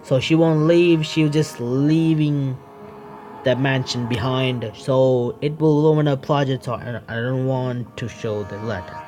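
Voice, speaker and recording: A male streamer with reads a visual novel aloud at a medium pitch and adds his own commentary. He is halting, often restarting phrases, and faint music sits behind him. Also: steady tone, warm tone. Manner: halting reading with stumbles and self-corrections.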